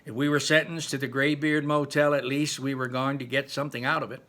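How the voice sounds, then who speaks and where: A man's voice: medium pitch, flat delivery, fairly quick, fairly bright tone, pitched above the performer's own voice, close to an everyday voice. Man as a solemn, insistent teenage sidekick. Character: solemn, sly teenage sidekick bully boy.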